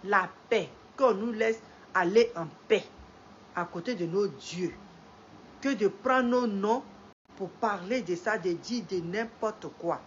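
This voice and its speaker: A woman's voice: medium pitch, a little hiss or hum. A middle-aged woman with a head cold, hosting a live stream, greeting viewers and lecturing with lots of repetition. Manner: repeats phrases, rising emphatic when preaching. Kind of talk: slow moralizing live-stream talk. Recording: dull lo-fi stream audio with faint ambience.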